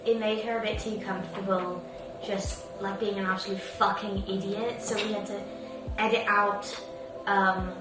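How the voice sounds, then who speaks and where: A sixteen-year-old woman from Dubai co-hosts a casual podcast, dropping dry, teasing remarks. Her voice comes from away from the microphone in a very echoey room. Music plays clearly behind her. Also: medium pitch, flat delivery, normal speed, recorded from a distance. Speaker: podcast co-host.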